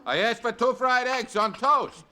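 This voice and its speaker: An impatient diner customer. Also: male voice, very high-pitched, unhurried, bright, forceful tone, slight background noise, full character voice. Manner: complaining, calling out.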